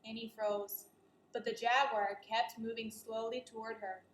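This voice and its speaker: A woman's voice: a little high, flat delivery, unhurried, echoey room, poor audio. A woman teacher reading a children's story aloud, expressively and speaking directly to her young listeners.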